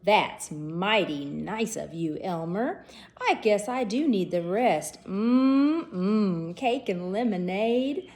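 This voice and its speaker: A woman's voice: mid-range voice, expressive, takes it slowly, darkish tone. A woman voicing a sly, lazy male cartoon rabbit with a cocky drawl in a read-aloud storybook. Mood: smug.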